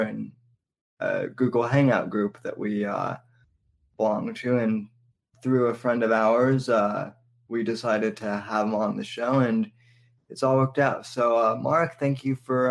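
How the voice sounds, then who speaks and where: Slow, creaky-voiced male podcast host. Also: mid-range voice. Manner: halting, with many fillers. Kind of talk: podcast interview hosting.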